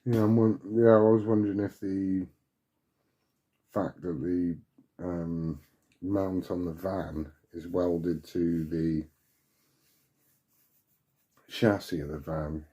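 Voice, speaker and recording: Very dark, low-voiced man. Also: flat delivery, slow, long pauses. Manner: musing, thinking out loud. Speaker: CB radio hobbyist asking for advice. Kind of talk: casual chat over CB radio.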